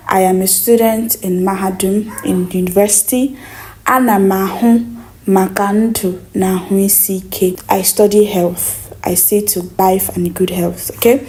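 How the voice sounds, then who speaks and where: A woman teaches a language lesson. Her voice sits in a middle pitch. She says each phrase slowly and repeats it so learners can follow. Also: a little mellow.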